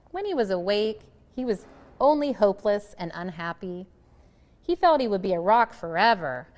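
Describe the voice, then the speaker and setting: Female picture-book reader, animated and dramatic, with very varied intonation. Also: mid-range voice. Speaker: storybook reader. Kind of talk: expressive scripted narration of a children's picture book.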